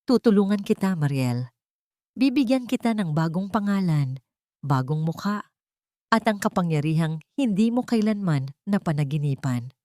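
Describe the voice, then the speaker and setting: A stern, calculating man acted out by a woman speaks as a vengeful mentor in a radio-style story. The delivery is grave and commanding, in a low voice with a dry sound. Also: animated, normal speed, warm tone, no room sound, close to an everyday voice.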